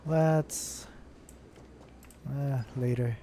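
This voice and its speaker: A man's voice: mid-range voice, steady tone, drawn-out words, warm tone, slight background noise. A man casually commenting while playing a game, reading item names aloud as he goes. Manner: reads game text aloud, musing to himself. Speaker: streamer. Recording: game music under the voice.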